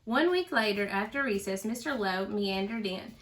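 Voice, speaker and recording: A woman who teaches first grade, reading aloud to young children in an expressive, animated storybook manner. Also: medium pitch, normal speed, distant mic, slight background noise.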